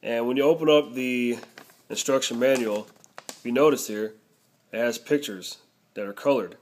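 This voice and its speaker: A man's voice: mid-range voice, normal speed. A man narrating a casual product review, explaining things step by step.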